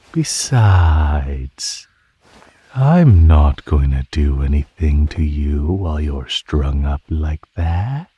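A man voicing a predatory male naga in an ASMR roleplay, husky and breathy, teasing his prey in a mockingly sweet drawl. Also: rumbling low, dark tone, a little hiss or hum, full character voice. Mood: mocking concern. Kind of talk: ASMR roleplay, scripted monologue.

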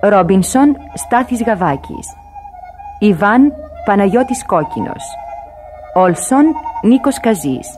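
A woman announces credits for radio in a formal, measured way. Her pitch is medium and faint music plays behind her. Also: expressive, a little mellow.

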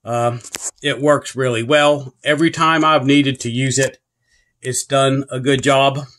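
A man's voice: medium pitch, steady tone, unhurried, fairly bright tone. Plain-spoken man reviewing a product. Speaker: product reviewer and channel host. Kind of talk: casual product review and demonstration. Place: carpeted room indoors.

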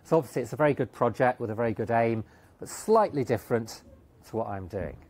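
A middle-aged man talks through his slides in a lecture hall. His delivery is halting, with frequent fillers and self-corrections, and his voice sits at an ordinary middle pitch. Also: lively intonation, darkish tone. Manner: hesitant, many fillers and restarts. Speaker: conference speaker. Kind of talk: technical conference talk with slides.

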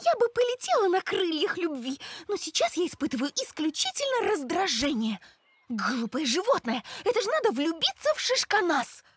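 A woman voicing a bossy, irritable but soft-hearted young girl creature in a children's cartoon, speaking emphatically and expressively. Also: very high-pitched, big pitch swings, normal speed, dry recording. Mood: irritated. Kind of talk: animated children's cartoon acting.

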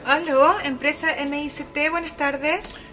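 A woman's voice: sits high, fairly even intonation, measured pace. An actress playing a young Chilean professional woman, brusque and easily rattled, in a radio drama. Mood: businesslike. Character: young female civil engineer, assertive, easily rattled and indignant. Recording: music behind the voice.

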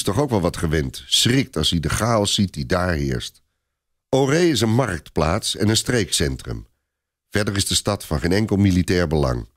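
A man narrating a dramatic historical story for a radio play in an expressive storytelling manner. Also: low voice.

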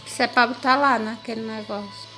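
A woman's voice: fairly high pitch, fairly even intonation, quick pace, pushed and bright, soft background music, rough recording. A woman with a Brazilian accent chatting casually to viewers as a home vlogger, informally showing and explaining things as they go. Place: home kitchen, indoors. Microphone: handheld phone or camera, held by the speaker.

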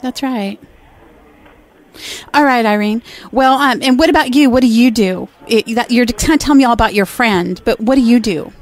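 A woman's voice: on the high side, normal speed. A woman co-host making a prank call in a sugary, teasing manner, calling the other person honey and sweetheart.

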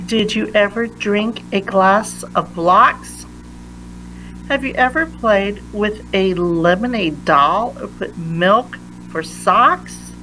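Slow-paced woman reading to children. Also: medium pitch, pushed and bright, a little hiss or hum, rough recording. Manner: deliberate, emphatic phrasing for young listeners. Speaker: read-aloud reader. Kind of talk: read-aloud of a children's science picture book.

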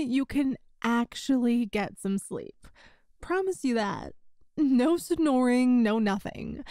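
Woman acting a high-voiced, playful older sister. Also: dark tone, dry recording, studio quality, full character voice. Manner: chatty, casual, giggly. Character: best friend's older sister, playful, teasing, secretly smitten. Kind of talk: roleplay audio acting, one side of a conversation.

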